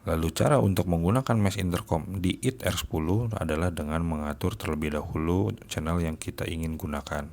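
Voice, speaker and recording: A man's voice: low voice, fairly quick, few pauses, a little mellow. A man narrating a scripted gadget review as a product reviewer. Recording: music behind the voice. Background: music.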